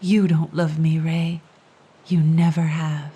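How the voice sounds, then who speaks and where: Woman doing a sea-spirit woman, sorrowful, breathy whisper, soft riddling manner. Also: low-pitched, little pitch movement, slow, pitched below the performer's own voice, full character voice. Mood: weary. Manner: soft, sad, speaks in riddles.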